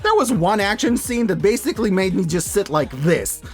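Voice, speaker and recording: Male review host, higher-pitched and very lively, emphatic and playful, music underneath. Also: moderate pace. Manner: emphatic, playful, colloquial, with dramatic repeats for effect. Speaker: host of a yearly media review show. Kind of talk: scripted review commentary.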